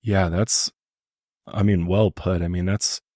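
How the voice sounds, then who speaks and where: A hoarse male podcast host, chatty and rambling, talking in a studio. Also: low-pitched, fairly even intonation, slow pace, a little mellow, no room sound. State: hoarse from illness. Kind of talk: podcast interview.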